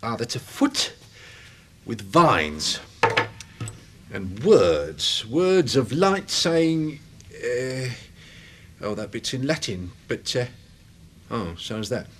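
A man's voice: mid-range voice, big pitch swings, drawn-out words, a little hiss or hum. A man in a radio drama playing a put-upon, grumbling school handyman who mutters and rambles. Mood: wondering.

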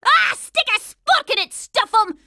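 A bossy little girl soldier, super high n sharp, scolding, cartoon game. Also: lively intonation, unhurried, dry recording, studio quality, full character voice. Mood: exasperated. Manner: scolding, brash, sarcastic. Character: bossy, contemptuous hot-tempered young girl soldier. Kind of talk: cartoon video game voice acting.

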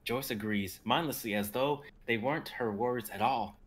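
A man's voice: medium pitch, moderate pace. A man in his twenties narrating a drama script, sometimes stumbling over the text, on home video-call audio. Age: 20s. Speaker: narrator reading stage directions.

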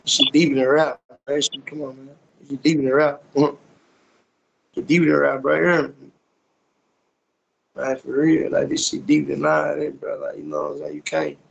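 A man's voice: a little high, moderate pace, vocal fry, pauses often, highs cut off. A young American man talking casually on a video call in heavy slang, repeating himself for emphasis and checking he is heard. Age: young adult. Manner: repetitive, emphatic street talk with frequent tag questions. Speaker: rapper being interviewed. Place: small indoor room, on a video call. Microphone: phone at arm's length on video call.

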